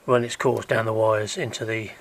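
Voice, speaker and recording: A man with a croaky voice gives a casual, hands-on how-to while working on an engine in a garage, chatting along and dropping to a mutter as he works. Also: on the low side, little pitch movement, normal speed, a little hiss or hum. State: croaky, recovering from illness. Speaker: DIY car repair vlogger.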